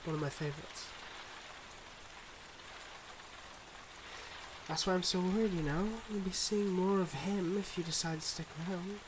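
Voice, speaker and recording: Man acting a higher-voiced, smooth mob boss boyfriend. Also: fairly even intonation, brisk, background noise, close to an everyday voice. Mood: earnest, guarded. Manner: smooth, confiding, teasing, speaking to a listener. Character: suave, secretive young male mob boss, devoted online boyfriend. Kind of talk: intimate ASMR boyfriend roleplay.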